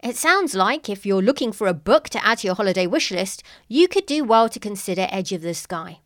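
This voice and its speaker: A woman's voice: medium pitch, animated, brisk, a bit bright, no room sound, clean studio sound. Woman podcast host reading outro. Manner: clear, read-aloud announcing.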